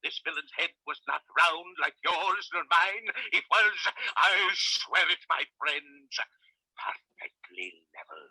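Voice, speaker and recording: A grandly theatrical old-school ham, high husky voice, wildly swooping melodramatic declamation, vintage radio serial. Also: male voice, expressive, slow pace, bright, forceful tone, no room sound, full character voice, poor audio. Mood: theatrical alarm. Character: pompous, hammy male stage actor, grandly theatrical. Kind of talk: 1940s radio comic character acting. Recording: old 1940s radio recording.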